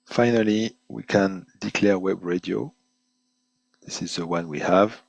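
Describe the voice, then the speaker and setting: A man presenting an unscripted webinar software demonstration in halting, hesitant phrases, often repeating words as he goes. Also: low voice, gravelly, no echo, poor audio. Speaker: product presenter giving a software demo.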